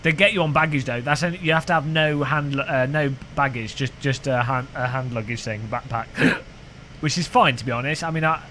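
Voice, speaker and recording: A man's voice: mid-range voice, normal speed, sharp tone, slight background noise. A man, chatting casually on a sim racing live stream, rambling and joking with his chat. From United Kingdom. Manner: rambling, joking, frequent restarts.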